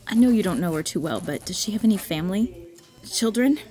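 A woman's voice: medium pitch, animated, fast, dark tone, soft background music, natural voice. A woman acting as a kind, slightly awkward, practical hospital staffer in an audio drama, reassuring and prone to stammering. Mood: reassuring, businesslike.

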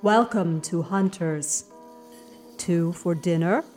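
A woman's voice: lowish voice, slow, darkish tone, faint music behind. A woman voicing an eerie, formal diner hostess in an audio drama, speaking stiffly and in clipped phrases. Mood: eerily formal.

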